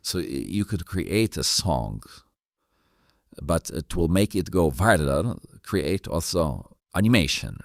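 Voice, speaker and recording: A man hosting a casual interview, halting as he interjects with questions and ideas. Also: low voice, expressive, creaky, dry recording, studio quality. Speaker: podcast host.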